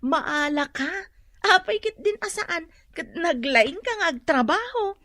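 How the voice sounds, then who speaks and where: An actress playing a nagging, fretful wife from a poor household in a radio drama, with an Ilocano accent. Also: female voice, very high-pitched, animated, a little slow, a bit bright, dry recording, clean studio sound, heavily acted. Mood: indignant, fretful.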